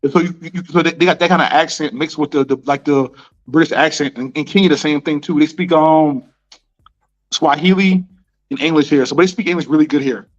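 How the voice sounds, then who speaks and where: A man's voice: on the high side, steady tone, a little fast. Laid-back American man, slangy and repetitive. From United States. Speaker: interviewee. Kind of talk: casual conversational interview. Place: Nairobi. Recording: dull lo-fi sound with faint music.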